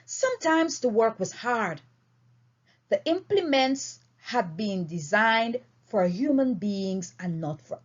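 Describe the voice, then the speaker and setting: A woman reading a story aloud in an expressive, deliberate and emphatic way. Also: medium pitch, slightly rough. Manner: emphatic, deliberate phrasing with dramatic swings. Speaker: audiobook reader. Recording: dull, lo-fi recording.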